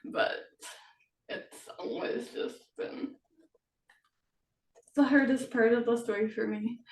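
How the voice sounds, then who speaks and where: A slow-spoken woman with a fairly high voice talks casually about writing her book series. She hesitates often and laughs lightly between phrases. Her voice comes from a distance, in an echoey room. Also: fairly even intonation, a little mellow. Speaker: author and interview host. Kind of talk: casual interview chat. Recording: roomy, distant mic.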